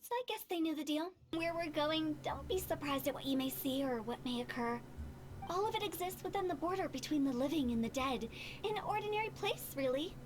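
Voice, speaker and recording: A woman's voice: high voice, expressive, a little fast, noisy background. A woman voicing a playful, cryptic young female funeral parlor director in a video game, theatrical, teasing and commanding. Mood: mysterious, teasing.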